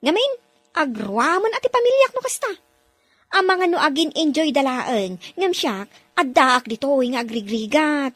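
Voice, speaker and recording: An earnest young maid working abroad in an audio drama, voiced by a woman. Her high voice moves lively up and down, and she sounds close and dry. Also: moderate pace, no room sound, faint music behind. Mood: advising. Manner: earnest, pleading. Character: young Ilocana domestic worker abroad, earnest. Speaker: overseas worker in a drama.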